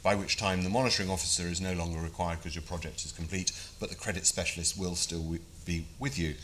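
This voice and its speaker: A man's voice: deep voice, steady tone, fast, a bit bright, a little hiss or hum. A British man presenting a funding briefing to a live audience, chatty and self-deprecating with frequent fillers.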